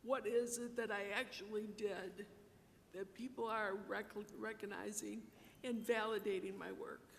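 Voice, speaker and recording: Woman, acceptance speech, gravelly, bright, reverberant banquet hall. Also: fairly even intonation, unhurried. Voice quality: self-described low voice. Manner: reflective, earnest, with repeated words for emphasis. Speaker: award recipient giving an acceptance speech. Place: large banquet hall, in front of a dinner audience. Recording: reverberant hall, distant mic, faint rumble.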